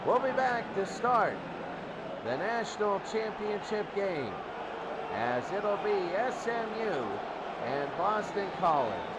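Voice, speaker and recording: A man's voice: high voice, big pitch swings, takes it slowly. An American man doing play-by-play football commentary as the announcer. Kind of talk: sports commentary. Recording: very noisy amateur broadcast. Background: loud ambient noise.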